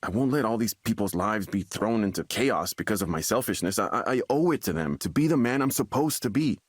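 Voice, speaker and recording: Troubled young man, low voice, agitated, halting, desperate to be normal, dry studio game dialogue. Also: lively intonation, no room sound. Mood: insistent, defensive. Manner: agitated, halting, repeats himself. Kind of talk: video game voice acting.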